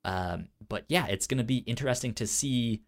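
A man's voice: mid-range voice, moderate pace. A man hosting a casual pop-culture podcast in an informal, slangy way, often stuttering and repeating syllables. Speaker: podcast host.

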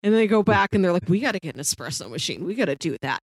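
A woman's voice: lowish voice, big pitch swings, racing, no room sound. A woman co-hosting a podcast, casually talking through a TV series and animatedly retelling it with acted-out quotes. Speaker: podcast co-host.

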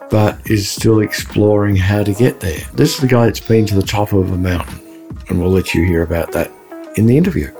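A man opens a podcast episode with his co-host in a relaxed, friendly way. His voice sits low. Faint music plays behind him. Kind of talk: podcast intro chat.

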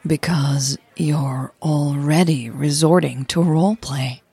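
A woman voicing a smitten, cheeky woman in an audio romance, husky, with a playful drawl. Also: low voice, takes it slowly, mellow, no echo, a faint crowd, exaggerated voice. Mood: playful pouting.

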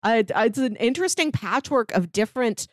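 A woman's voice: fairly high pitch, lively intonation, normal speed, no room sound. Woman chatting casually, close dry sound. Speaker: podcast co-host. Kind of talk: casual review chat.

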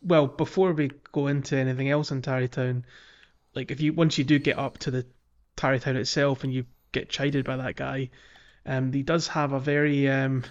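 A man co-hosting a gaming podcast, chatting casually and haltingly as he gathers his thoughts. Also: mid-range voice, level tone, lo-fi sound. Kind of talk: casual chat about a video game.

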